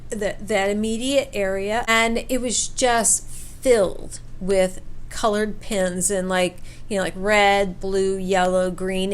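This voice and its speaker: A woman telling a personal story in a casual, chatty way, with an animated, informal manner. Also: medium pitch. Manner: animated retelling, acts out quoted dialogue. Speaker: storyteller vlogger.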